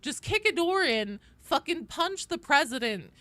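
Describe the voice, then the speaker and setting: A woman's voice: high-pitched, very animated, measured pace, a bit bright, dry recording. A woman chatting casually to viewers as a game livestreamer, playful and joking, with some swearing. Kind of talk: casual livestream chat while gaming.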